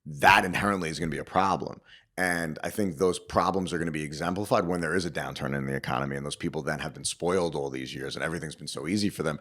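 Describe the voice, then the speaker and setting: A man's voice: very deep voice, fast talker, fairly bright tone. A man speaking emphatically and casually in an interview, giving opinionated advice with rhetorical check-ins. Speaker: interviewed business owner and show host.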